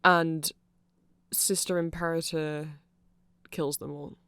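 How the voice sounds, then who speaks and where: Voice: medium pitch, flat delivery, slow pace, a bit bright, smooth, no echo. A person giving a chatty, rambling ASMR-style fan explainer in a playful, informal way. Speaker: ASMR creator explaining band lore.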